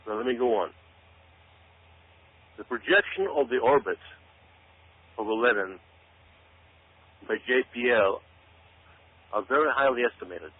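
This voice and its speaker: A man's voice: mid-range voice, animated, unhurried, pauses often, husky. A man from Israel giving emphatic, explanatory talk-radio commentary as a guest, often repeating phrases for stress. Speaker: author and researcher, radio show guest. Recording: tinny phone-like radio audio.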